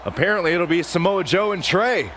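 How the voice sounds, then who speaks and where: A man's voice: high-pitched, animated, brisk, a little hiss or hum. A man playing an earnest, emphatic wrestling commentator in a video game. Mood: excited. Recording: loud music behind the voice. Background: loud music.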